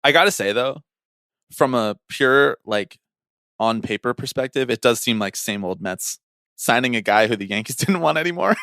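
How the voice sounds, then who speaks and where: A man's voice: medium pitch, animated, moderate pace, bright, forceful tone, dry recording. Man casually chatting sports, dry close recording. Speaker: podcast co-host.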